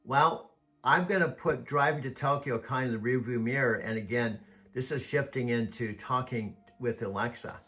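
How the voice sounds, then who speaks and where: A man's voice: medium pitch, moderate pace, pushed and bright. A man with an American accent hosting a video, chatting in a rambling, folksy storytelling way. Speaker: video host. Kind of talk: casual chat.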